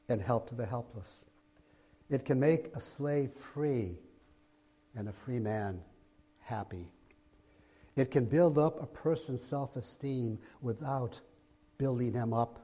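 A man with a raspy voice preaching a sermon as pastor in a church, going from a soft low opening to loud emphatic delivery. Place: church sanctuary, streamed online.

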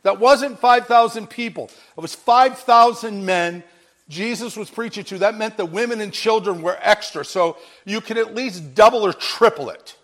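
A male pastor with an unusually high-pitched, big voice delivers a sermon. He tells the story with energy and acts out the lines people say. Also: moderate pace. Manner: animated storytelling, acting out bits of dialogue.

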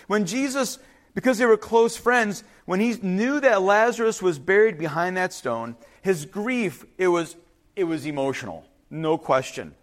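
Animated, high-voiced man preaching a sermon, Ukrainian native speaker. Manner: conversational, informal asides, checks in with listeners. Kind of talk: sermon, reading and explaining scripture.

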